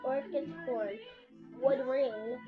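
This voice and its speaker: Young girl reading haltingly, very slow pace. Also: high-pitched. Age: child. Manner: stumbles over words and sounds them out haltingly. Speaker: presenter reading a picture book aloud. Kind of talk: halting read-aloud of a children's picture book with casual asides. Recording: muffled lo-fi home recording with prominent music. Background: music.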